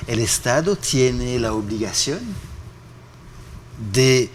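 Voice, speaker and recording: Man with a mid-pitched voice, hesitant interview guest who stumbles and repeats words. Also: slight background noise. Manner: halting, many repeated fillers. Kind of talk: formal interview answers.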